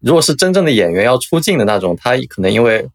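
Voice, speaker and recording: A man's voice: medium pitch, sing-song, quick pace, no room sound, clean studio sound. A man co-hosting a podcast, discussing things in a casual, conversational way. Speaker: podcast co-host.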